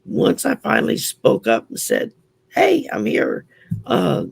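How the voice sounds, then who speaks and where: A man with a Southern US accent and a growly, gravelly voice, chatting openly as a guest on a call. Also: sits high, huge pitch swings, slow, no echo. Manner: chatty, open, storytelling. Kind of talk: casual chat.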